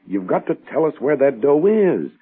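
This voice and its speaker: An anxious lawyer in a 1940s radio crime play, urgent and wheedling. His husky voice leaps widely in pitch. The vintage recording is very thin and tinny. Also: very animated, moderate pace. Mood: urgent, pleading. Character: pleading, anxious male lawyer angling for hidden money.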